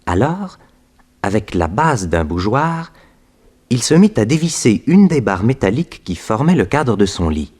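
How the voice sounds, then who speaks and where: A man's voice: mid-range voice, sing-song, measured pace, darkish tone, slightly rough. A man narrating a 1960s French radio detective serial in a dramatic scripted storytelling style. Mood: measured, suspenseful.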